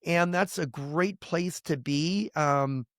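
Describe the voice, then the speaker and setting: A man's voice: on the high side, slow, a bit bright, dry recording, studio quality. A middle-aged man, a pastor, talking earnestly and emphatically about family and loss in a podcast conversation. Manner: earnest, emphatic, grows heated and indignant. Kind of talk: candid testimony in conversation.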